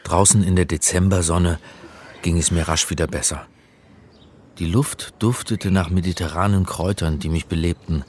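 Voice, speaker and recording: A man's voice: deep voice, steady tone, normal speed, a little mellow, slight background noise, close to an everyday voice. An actor playing a hapless, wry crime hero who narrates his own story in a radio play. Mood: wry narration.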